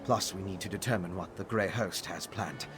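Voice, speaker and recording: A man's voice: deep voice, moderate pace, over music, natural voice. A man playing an eager, articulate scholar of necromancy in a video game. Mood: thoughtful, intent. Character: eager, bookish male scholar researching necromancy. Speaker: game character. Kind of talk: scripted video game dialogue.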